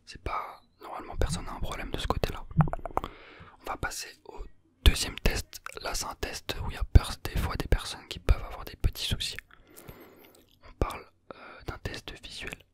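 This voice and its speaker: Young adult whispering ASMR examiner. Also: normal speed. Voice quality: soft unvoiced whisper. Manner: teasing, playful, gently reassuring. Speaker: ASMR roleplayer playing an examiner.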